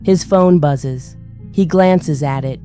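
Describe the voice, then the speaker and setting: A woman's voice: low voice, takes it slowly, mellow, soft background music. An actress playing a captive woman, narrating an audio drama in a subdued, tense way. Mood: subdued dread. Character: Ashley, captive woman forced along at gunpoint.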